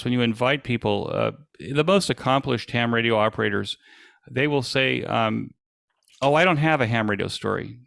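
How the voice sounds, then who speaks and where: Low gravelly man explaining informally. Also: a bit bright, no room sound, studio quality. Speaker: presenter and podcast host. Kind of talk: informal online presentation Q&A.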